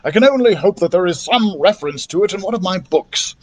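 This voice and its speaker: A man voicing a commanding, excitable male doctor and adventurer in an emphatic, declamatory way. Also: sits high, brisk, voice pushed up. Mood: earnest, fretful.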